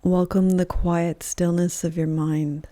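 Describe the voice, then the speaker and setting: A woman leading a guided yoga nidra meditation in a calm, gentle, measured voice with soft pauses between phrases. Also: on the low side, dry recording. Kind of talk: guided meditation, soothing scripted narration.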